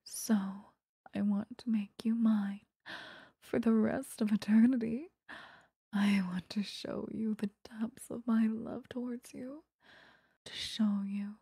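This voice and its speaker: Obsessive vampire seductress acted by a woman for intimate ASMR roleplay: very breathy whisper, dark and soft, menacingly possessive. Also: mid-range voice, no room sound, clean studio sound, heavily acted. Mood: tender, obsessive. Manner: slow, coaxing, intimate. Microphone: close microphone.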